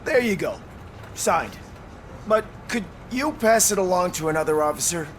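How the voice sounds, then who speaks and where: An uneasy young-sounding male officer in a video game. His voice sits fairly high and moves around a lot, hesitant and withdrawn, with faint background noise. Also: expressive, normal speed. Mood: uneasy, polite. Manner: hesitant, nervous.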